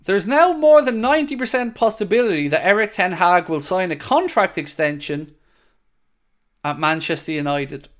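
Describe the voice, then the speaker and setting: A young man hosts a live stream and answers viewers one by one. His talk is casual and upbeat, and his voice sits a little on the high side for a man. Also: normal speed. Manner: chatty and upbeat, reads questions aloud then answers. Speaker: host of a football fan channel.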